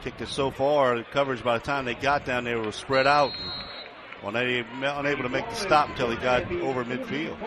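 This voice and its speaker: A man with an American accent and a medium-pitched voice serves as color commentator on a live football broadcast. He analyzes the action in a conversational way from a stadium broadcast position. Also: steady tone, brisk, background noise.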